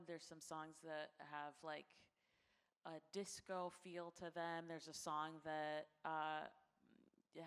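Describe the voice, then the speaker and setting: Unhurried female singer on a panel, lowish voice, thinks aloud, hall reverb. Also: clear, resonant tone. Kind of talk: panel interview answers. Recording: long reverb of a concert hall.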